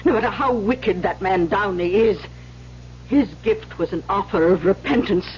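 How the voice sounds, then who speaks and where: An actress playing a stern older Mother Superior in an old radio drama, scolding in sharp, weighty questions on a muffled, humming recording. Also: medium pitch, moderate pace, slight background noise, lightly acted.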